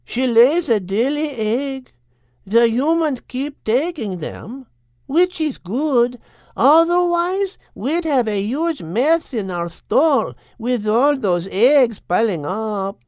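A man voicing a placid, chatty female cow in a storybook, with an unhurried delivery. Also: very high-pitched, sing-song, darkish tone, smooth, no echo, clean studio sound, pitched above the performer's own voice, exaggerated voice.

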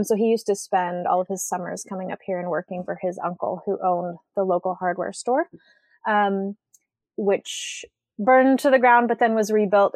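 A woman's voice: medium pitch, a little mellow, no room sound. An American woman from western Washington who grew up speaking Swedish, talking casually as an interview guest. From rural western Washington state, USA. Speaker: podcast guest, writer and artist.

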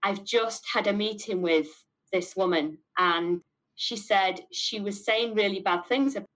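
A woman's voice: medium pitch, a little slow, fairly bright tone, rough recording. A middle-aged woman with a Mancunian accent, a business owner answering interview questions candidly and stressing points by repeating words. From north of England.

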